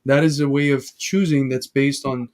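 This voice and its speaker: A man's voice: mid-range voice, little pitch movement, moderate pace, darkish tone. A man with a voice in the middle of the usual male range hosts a casual live stream. He explains ideas patiently, piling up everyday examples as he lectures. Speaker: stream host.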